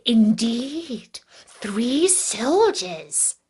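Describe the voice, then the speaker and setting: A woman voicing a very old, kindly but sly grandmother in a fairytale, hushed and deliberate. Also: on the high side, very animated, husky, heavily acted. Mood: coaxing.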